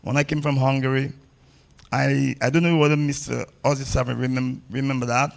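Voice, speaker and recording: A man's voice: medium pitch, flat delivery, a little fast, creaky. A man giving a public ceremony speech as a table tennis coach, blunt and repeating phrases for emphasis.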